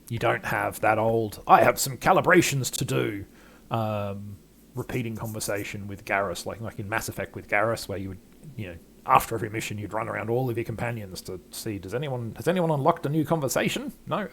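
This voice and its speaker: A man's voice: lowish voice, brisk, gravelly, fairly bright tone. An older man hosting a game playthrough with casual, rambling, self-deprecating commentary. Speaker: gaming video host.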